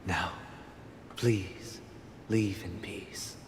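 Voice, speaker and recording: An actor playing a devout, brooding young confessor who speaks in a formal, measured, pious manner. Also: male voice, deep voice, fairly even intonation, noisy background. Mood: calm, grave. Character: solemn soft-spoken young priestly man, devout and brooding. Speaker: confessor and family leader. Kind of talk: formal, dramatic game voice acting. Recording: echoey hall, loud music behind.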